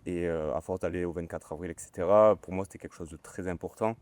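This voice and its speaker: A man's voice: deep voice, steady tone, quick pace, a little mellow, no room sound. A man, a student guest telling his story hesitantly with many fillers. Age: 20s. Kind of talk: casual interview. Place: outdoors.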